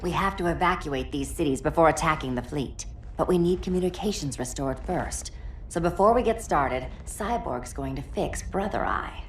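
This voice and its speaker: Low-voiced hero giving a crisp briefing, faint noise behind. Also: female voice, lively intonation, normal speed.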